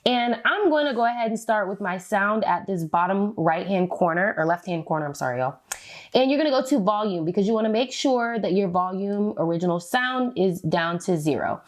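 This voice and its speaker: A woman's voice: on the low side, a little fast. A woman with an American accent, talking informally and with slang as a vlogger walking viewers through a step-by-step tutorial. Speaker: beauty vlogger giving a tutorial. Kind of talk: casual step-by-step tutorial chat.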